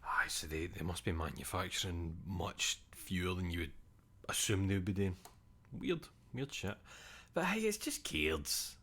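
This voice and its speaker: A young man with a Scottish accent rambles through relaxed stream chatter. His voice sits very low, and he drops in casual swearing as he talks. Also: unhurried, slightly rough. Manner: rambling, swears casually, reads chat aloud.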